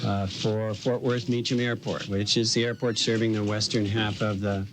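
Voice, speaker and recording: A man's voice: on the low side, little pitch movement, moderate pace. A man explaining plans off the cuff in a TV news interview, on a noisy old tape. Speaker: interviewee explaining airline service.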